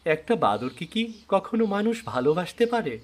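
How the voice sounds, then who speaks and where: A man's voice: high-pitched, very animated, brisk, slight background noise. A man voicing a kindly, lovelorn young monkey prince in a fairy tale cartoon. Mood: longing, doubtful.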